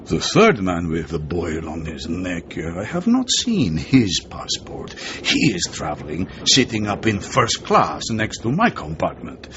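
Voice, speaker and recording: An actor playing a shrewd, genial middle-aged intelligence chief in a radio drama. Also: male voice, sits low, huge pitch swings, unhurried, hardly any pauses, heavily acted. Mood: sly, confiding. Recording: dull lo-fi recording, background noise.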